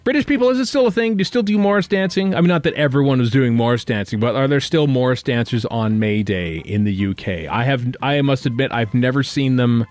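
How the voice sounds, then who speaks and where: A man's voice: medium pitch, fast, hardly any pauses, a little mellow, soft background music. A man chatting casually as a podcast host, joking and rambling as he interrupts himself. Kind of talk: casual fan podcast chat.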